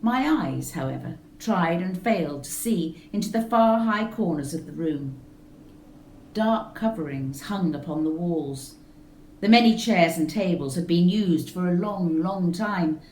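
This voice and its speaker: A woman reading a story aloud in a slow, measured, expressive way. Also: on the low side, slight background noise. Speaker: reader. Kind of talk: storybook narration. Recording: roomy echo with faint music.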